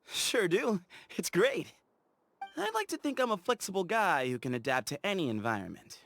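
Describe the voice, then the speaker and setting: An actor voicing an easygoing, playful young explorer in a game, chatty and teasing, laughing easily. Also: male voice, sits high, big pitch swings, normal speed, a bit bright, slight background noise, exaggerated voice. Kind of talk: game character voice lines.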